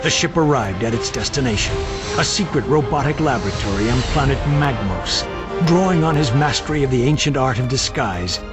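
A man as a grandiose, animated mock-heroic narrator. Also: medium pitch, a little fast, natural voice. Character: pompous male narrator of a superhero adventure. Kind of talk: dramatic scripted narration of a video game. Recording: loud music under the voice.

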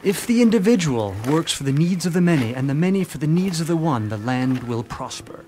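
An actor playing a noble, earnest samurai strategist with a declamatory manner in a video game. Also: male voice, fairly high pitch, big pitch swings, brisk, mellow. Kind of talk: dramatic video game acting. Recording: music under the voice.

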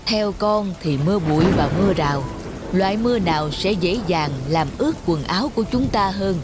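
A woman voicing a patient, wise village father and teacher in a moral cartoon, speaking in a measured, instructive way. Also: medium pitch, expressive, very noisy, lightly acted. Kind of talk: cartoon dialogue, moral storytelling. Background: music.

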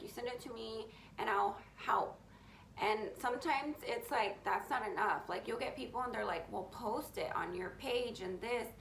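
A young woman vlogs from her bedroom with a deep, raspy, gravelly voice that sits a touch high for her. She talks openly and a bit rambly, leaning on fillers like "you know" and "like". The room rings with echo, over a faint hum of ambience. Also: fairly bright tone. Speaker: beauty influencer. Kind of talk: candid sit-down vlog to camera. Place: bedroom at home, indoors. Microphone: camera in front at close range.

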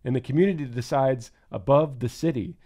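A man's voice: medium pitch, a little fast, mellow. A man co-hosting a podcast, talking in an earnest, emphatic and reflective way. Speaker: podcast co-host. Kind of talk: casual podcast talk.

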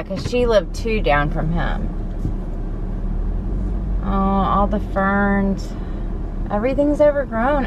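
A woman, chatting to viewers in a wistful, rambling way while she drives. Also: medium pitch. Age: 40s. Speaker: vlogger. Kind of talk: casual driving vlog. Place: inside a car on the road. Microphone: dashboard camera. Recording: loud car and road noise.

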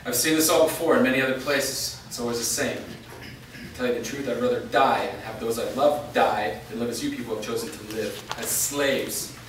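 An actor playing a world-weary, defiant wandering fighter in a staged play reading. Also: male voice, medium pitch, moderate pace, close to an everyday voice. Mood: grim, defiant. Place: large bare indoor room, staged reading. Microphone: distant camera. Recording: echoey room from far off, faint noise.

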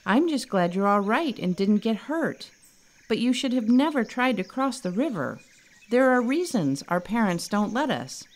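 A woman's voice: fairly high pitch, big pitch swings, normal speed, voice pushed up. A woman voicing a clever, caring young male elephant in a storybook, earnest and reassuring. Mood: gently scolding.

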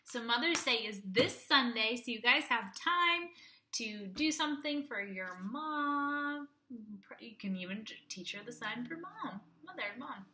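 A young woman reading a children's picture book aloud like a teacher, in an expressive sing-song way. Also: fairly high pitch, unhurried, slight background noise. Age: young adult.

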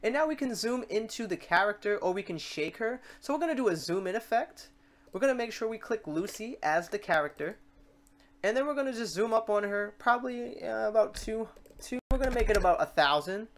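A tutorial host with a mid-range voice walks through software steps in a casual way. The delivery is informal and unscripted, thinking aloud and self-correcting while working. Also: medium pitch, animated, fairly quick, a little hiss or hum. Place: voice-over on a screen recording.

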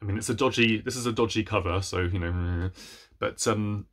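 A young man with a fairly low voice talks casually to camera about his reading. His delivery is chatty and hesitant, with a self-deprecating touch. He is recorded indoors at home, about an arm's length from the camera. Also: normal speed. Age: young adult. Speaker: book reviewer vlogger. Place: small room at home, indoors.

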